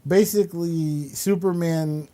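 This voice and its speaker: A man's voice: fairly high pitch, steady tone, slow, warm tone. A man chatting casually and opinionatedly about pop culture, rambling as he explains and throwing in exasperated asides. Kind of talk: casual chat about films and comics.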